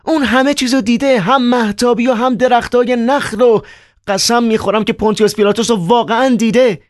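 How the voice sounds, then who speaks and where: A man doing a frantic, shrill young poet. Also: fairly even intonation, no echo, clean studio sound. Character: agitated young male poet in an asylum.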